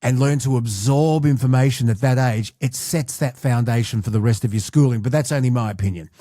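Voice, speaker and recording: A man hosts a conversation and shares his opinions modestly. His voice is mellow and warm at a middle pitch. The sound is close and dry. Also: fairly quick, no echo. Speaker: podcast host. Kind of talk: interview conversation.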